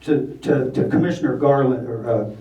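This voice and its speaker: An older retired man giving measured, blunt testimony at a county meeting. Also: medium pitch, mellow. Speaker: public commenter. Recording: echoey room, distant mic, faint noise.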